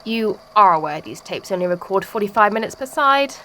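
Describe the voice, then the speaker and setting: A woman's voice: mid-range voice, animated, brisk, bright, forceful tone, a little hiss or hum. A woman playing a sardonic, skeptical friend in an amateur audio drama, speaking in a blunt, casual, exasperated way. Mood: dryly amused.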